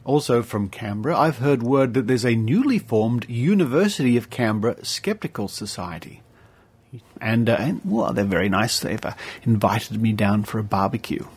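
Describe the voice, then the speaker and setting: A man's voice: mid-range voice, normal speed, slightly rough. A man with an Australian accent hosting a podcast, giving informal introductions and announcements in a chatty, playful manner with asides. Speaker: podcast host.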